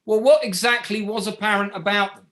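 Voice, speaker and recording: An actor playing a relentless barrister who cross-examines with pointed, sardonic questions in a courtroom drama table read. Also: male voice, sits high, steady tone, fairly quick, fairly bright tone. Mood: incredulous, insistent.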